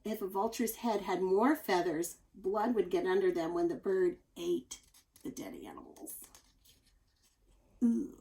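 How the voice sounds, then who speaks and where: A woman reading a children's nonfiction book aloud like a teacher, expressive and explanatory, adding chatty asides for young listeners. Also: medium pitch, a little slow, poor audio.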